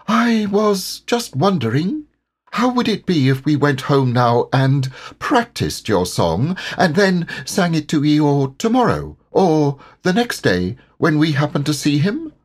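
A man voicing a small, anxious young piglet in a storybook, with a high, timid, squeaky voice. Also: big pitch swings, unhurried.

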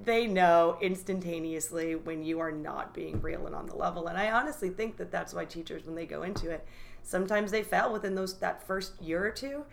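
A woman's voice: fairly low pitch, brisk, creaky, slight background noise. A woman teacher talking casually in an interview, enthusiastic and chatty, full of "like".

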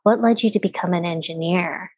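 A woman hosting a conversational interview, speaking deliberately and repeating phrases for emphasis. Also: fairly low pitch, slightly rough, no echo, lo-fi sound.

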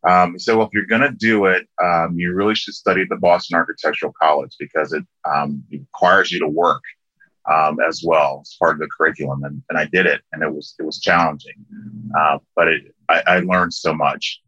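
A middle-aged man from Liberia, a landscape architect talking as an interview guest in a relaxed way, with many ums and restarts. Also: sits low, fairly quick, no room sound. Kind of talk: casual interview conversation. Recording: dull lo-fi dry sound.

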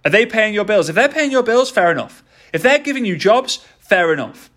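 A man's voice: high voice, big pitch swings, a little fast, a bit bright. A man in his thirties hosting a motivational podcast, speaking with energy and repeating key phrases for emphasis. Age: 30s. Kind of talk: motivational talk.

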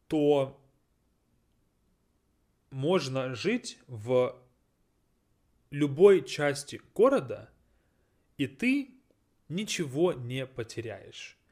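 Slow, lively man teaching. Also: mid-range voice. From Russia. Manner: deliberate, repeats and translates phrases. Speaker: language teacher. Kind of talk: slow teaching monologue mixing Russian and English.

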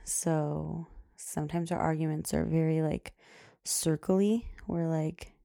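A woman in her thirties hosts her own podcast, rambling through loose casual chat with fillers and frequent laughs. Her voice is loud, low and mellow, with a gravelly fry running through it. The sound is dry and close, with no room around it. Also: steady tone, takes it slowly, dry recording. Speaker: podcast host.

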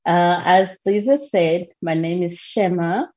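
Low, husky woman. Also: warm tone. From Rwanda. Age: 40s. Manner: earnest, measured, with pauses. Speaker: podcast guest. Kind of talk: personal testimony. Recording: muffled lo-fi recording.

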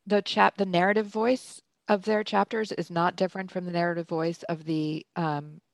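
A woman's voice: fairly low pitch, steady tone, moderate pace, vocal fry, no room sound. A woman who edits books and coaches writers, speaking in a slightly Hungarian-tinged English, answering thoughtfully with frequent ums and self-corrections. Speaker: book editor and writing coach. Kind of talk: conversational interview answer.

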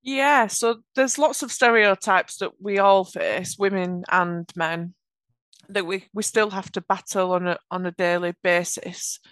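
A woman with a Yorkshire accent talks through her career in earnest, measured answers. Her voice is smooth and resonant. The recording is close and dry, with no room sound. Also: medium pitch, a bit bright, dry recording. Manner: earnest, measured explanations with occasional restarts. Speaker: podcast guest, automation architect. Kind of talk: conversational interview answers.

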